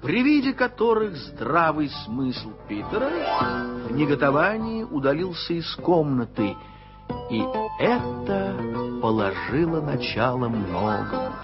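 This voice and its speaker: A man reading the narration of a radio play in an expressive storytelling way. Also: a little high, a little slow. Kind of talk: scripted literary narration. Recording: dull lo-fi radio play recording with music.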